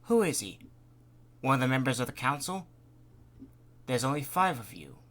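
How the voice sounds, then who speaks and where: A man's voice: very animated, moderate pace, fairly bright tone. A man voicing a cheerful woman who captains a dirigible, in a raised, lilting voice. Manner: chatty, lilting, higher-pitched.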